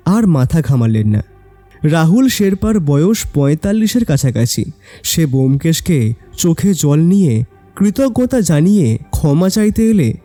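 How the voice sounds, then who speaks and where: Very mellow, animated male story narrator. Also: on the high side. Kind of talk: scripted dramatic story narration. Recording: background music.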